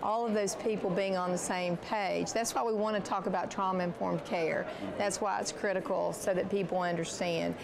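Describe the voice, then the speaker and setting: A woman's voice: mid-range voice, steady tone, moderate pace, gravelly, few pauses, noisy background. A woman interviewing a guest, asking questions with many fillers and restarts. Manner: searching, filler-heavy phrasing. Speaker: interviewer, state official.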